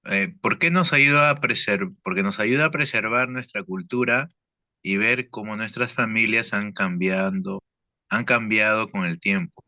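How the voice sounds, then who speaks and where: A man's voice: lowish voice, unhurried, pushed and bright, no room sound, highs cut off. A man taking part in a workshop reads a slide aloud carefully and restarts once. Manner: careful reading with a small restart. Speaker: workshop participant.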